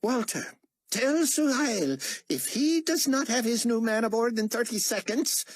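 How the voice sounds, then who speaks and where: A man's voice: sits high, sing-song, a little slow, slightly rough, no echo, pitched above the performer's own voice, exaggerated voice. A man playing a pompous, menacing world dictator in an audiobook, with clipped formal diction and sarcastic scorn. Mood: barking, mocking.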